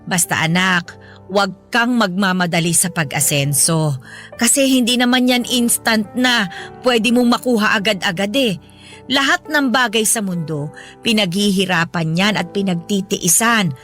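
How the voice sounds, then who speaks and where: A woman's voice: mid-range voice, moderate pace, a bit bright, lightly acted. An actress playing a proud, loving middle-aged mother in an emotional radio drama, effusive and plain-spoken, with a Filipino accent. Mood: gently advising. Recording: music under the dialogue.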